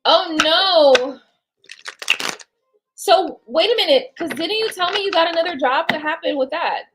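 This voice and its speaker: A woman's voice: very high voice, unhurried, background noise. A woman reading tarot cards on a casual live stream, rambling and chatting to her viewers and to spirit. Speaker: tarot card reader.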